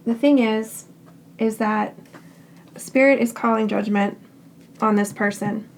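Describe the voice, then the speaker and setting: A hoarse woman with a raspy voice, still getting over a cold, calmly reads tarot cards for her viewers. Her delivery stays level, with little rise and fall, and a gravelly edge runs through her speech. Faint background noise sits beneath her reassuring, unhurried phrases. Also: fairly high pitch. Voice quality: raspy, scratchy throat. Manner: calm and reassuring, speaks in short unhurried phrases. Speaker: tarot card reader. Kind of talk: pick-a-card tarot reading.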